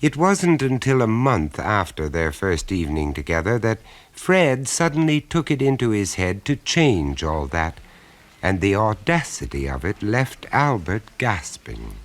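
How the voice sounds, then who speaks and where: Very deep-voiced wry male storyteller. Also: big pitch swings, a little slow. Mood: wry, measured. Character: wry, unhurried male storyteller of a suburban tale. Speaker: narrator. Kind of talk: scripted radio drama narration. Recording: 1970s radio play recording.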